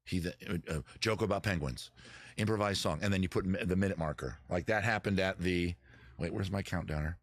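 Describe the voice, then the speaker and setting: A man's voice: low voice, brisk, dry recording. Rambling man hosting a casual livestream, dry sound. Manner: rambling, self-deprecating, swears freely. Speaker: host of a live music and chat show. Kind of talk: casual livestream chat with viewers, some improvised singing. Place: at home in the backyard.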